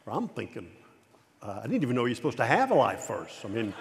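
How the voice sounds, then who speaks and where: A man's voice: medium pitch, huge pitch swings, fast, a little hiss or hum. A middle-aged man preaching a sermon at a pulpit in a large church auditorium, talking with a stutter, frequent repeats and restarts. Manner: gestures broadly at a pulpit. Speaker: pastor. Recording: long reverb of a large hall.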